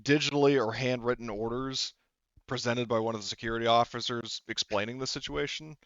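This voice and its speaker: Male game master, casual and explanatory, smooth delivery, dry but slightly muffled recording. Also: mid-range voice, steady tone, brisk, fairly bright tone, no room sound. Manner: explanatory, hedging with frequent "like". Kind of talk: casual tabletop roleplaying talk.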